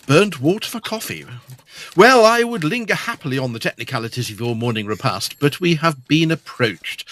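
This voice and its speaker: A jovial Edwardian gentleman in an audio drama. He is wry, wordy and a touch pompous, with very animated intonation at a middling pitch. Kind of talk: period audio drama acting.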